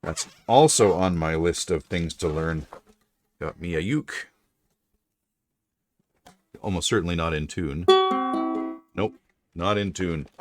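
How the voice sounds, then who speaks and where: Very deep-voiced middle-aged man chatting. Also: slow pace, long pauses, over music. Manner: rambling, self-correcting. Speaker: livestream host. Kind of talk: casual livestream chat.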